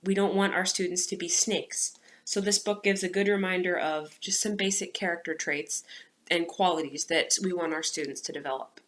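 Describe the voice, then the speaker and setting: A woman speaking as a music teacher, presenting and reading children's material in an expressive, instructive way. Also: fairly low pitch, normal speed. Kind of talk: instructional presentation, then storybook read-aloud.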